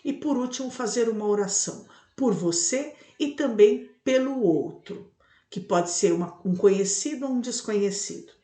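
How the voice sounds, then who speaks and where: A Brazilian woman speaks as a church teacher, with a soft-edged, warm and dark tone at a middle pitch. She explains step by step in a didactic devotional style, posing questions and adding little tag questions. The sound is roomy, with clear echo around her voice. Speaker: evangelical bishop and Bible teacher. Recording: roomy, echoing room sound.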